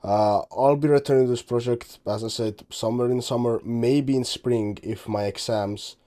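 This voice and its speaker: A man's voice: mid-range voice, steady tone, moderate pace, warm tone. A teenage man from Estonia, a native Estonian speaker, chatting casually in English about his game project, rambling and often correcting himself. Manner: rambling, self-correcting, offhand. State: tired. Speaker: game dev vlogger. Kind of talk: casual commentary over screen recording.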